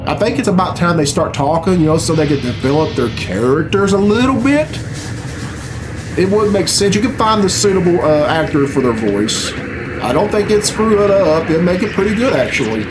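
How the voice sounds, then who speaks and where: A man in his thirties with a somewhat high voice reacts off the cuff as a video host. He is blunt and grumbly, often puzzled and free with swearing, with game music playing behind him. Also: animated, moderate pace. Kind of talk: casual off-the-cuff reaction commentary. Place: small furnished room at home. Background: game cutscene music and sound.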